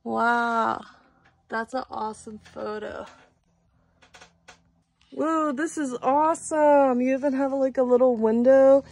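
High-voiced, gushing woman visitor. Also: takes it slowly, gravelly, pauses often, darkish tone. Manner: gushing, exclamatory. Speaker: visitor on the tour. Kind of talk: enthusiastic casual chat.

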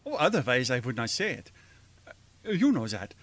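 An actor playing a gentle, earnest older professor with a European accent and halting, formal speech in a radio drama. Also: male voice, medium pitch, big pitch swings, no room sound. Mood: fervent, encouraging. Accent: foreign European. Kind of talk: old-time radio drama acting.

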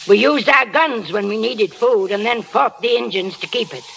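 A stern but loving old American pioneer grandmother in a 1930s radio drama. Her voice is bright and forceful, exhorting the listener, over a hissy old recording. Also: fairly high pitch, normal speed, creaky. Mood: stern, impassioned. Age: older.

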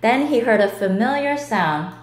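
A woman reading a children's story aloud in a deliberate, expressive way. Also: fairly high pitch. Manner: deliberate, animated. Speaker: storybook reader. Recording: roomy indoor echo.

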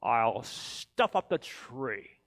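Storybook villain creature, played by a man: male, sly, nasty, slow emphatic drawl, big pitch swings. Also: very animated, gravelly, a bit bright, exaggerated voice. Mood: gleeful scheming. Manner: drawn-out, sly, emphatic delivery. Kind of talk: children's storybook character voice.

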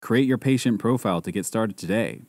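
A man's voice: on the low side, a little fast, darkish tone, no room sound. A man delivering scripted narration as a voice-over artist, close on the mic.

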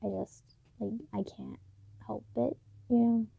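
A teenage woman talking softly late at night in her bedroom, halting with many fillers, recorded on a built-in mic. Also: a little high, fairly even intonation, creaky, slightly rough, no room sound. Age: late teens. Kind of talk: late-night personal vlog. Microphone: phone or camera built-in mic, close. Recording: dull lo-fi built-in mic, dry.

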